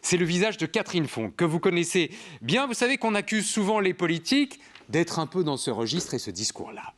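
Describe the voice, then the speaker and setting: A man hosting a studio talk show, emphatic and lively as he presents. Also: high-pitched, brisk, background noise.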